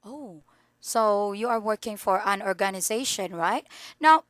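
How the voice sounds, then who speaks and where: A woman's voice: mid-range voice, animated, a little slow, fairly bright tone, no room sound, studio quality. A woman teaching English in an online lesson, asking polite questions patiently over a video call.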